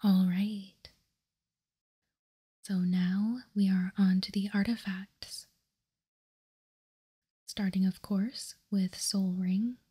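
A woman whispering in a breathy voice as she slowly and deliberately presents trading cards, ASMR style. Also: mid-range voice, level tone, warm tone. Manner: slow, deliberate, reading card text piece by piece. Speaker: host of a trading card ASMR channel. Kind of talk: ASMR whispered card showcase.

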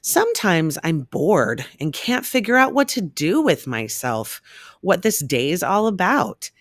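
A woman reading a rhyming children's picture book aloud in an expressive, measured storytelling manner. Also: lowish voice, no echo, studio quality.

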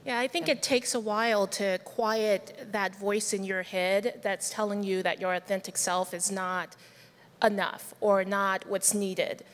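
A woman's voice: mid-range voice, fairly even intonation, unhurried. Emphatic woman panelist in a big hall. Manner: earnest, emphatic, laughs wryly. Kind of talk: panel discussion. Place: large conference hall stage. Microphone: handheld mic. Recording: reverberant hall.

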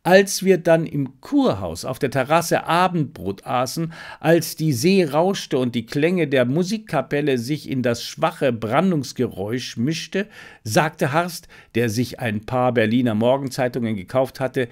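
A male audiobook narrator reads a detective story. His medium-pitched voice rises and falls widely, giving the scripted prose a very animated contour. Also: unhurried.

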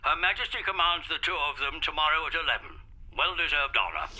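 An actor playing a gruff, commanding old British prime minister on a telephone in a radio drama. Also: male voice, on the high side, big pitch swings, fast talker, bright, forceful tone, exaggerated voice, low-quality recording. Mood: imperious.

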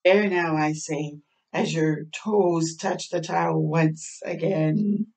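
Slow-paced woman reading to children, slightly distant. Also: sits low, vocal fry. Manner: expressive, child-directed, drawing out words. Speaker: children's storytime host. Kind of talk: read-aloud picture book narration with asides. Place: small home bathroom, indoors. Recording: slightly distant microphone in a room.

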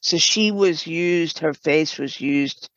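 A woman explains her creative work as an interview guest. She speaks very slowly, often breaking off and restarting her sentences. The recording is dry and somewhat dull, with little room sound. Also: sits low, fairly bright tone, no room sound. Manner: halting, with broken-off sentences. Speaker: guest, writer and audio drama producer.

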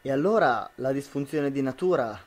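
A man's voice: mid-range voice, expressive, normal speed, close to an everyday voice. A young man playing a troubled, disbelieving husband in an amateur radio drama. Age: young adult.

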